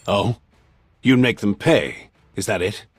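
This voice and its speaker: A man's voice: fairly low pitch, animated, takes it slowly, dry recording, soft background music. A man voicing a smug, menacing authority figure in a video game, with a taunting, condescending, theatrical delivery. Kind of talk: English video game voice acting.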